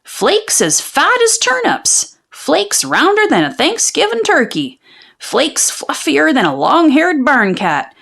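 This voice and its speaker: A woman narrating a children's storybook in an expressive, folksy storytelling manner. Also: high-pitched, slow, creaky. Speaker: children's book read-aloud narrator. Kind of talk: animated storybook narration of a folksy tall tale.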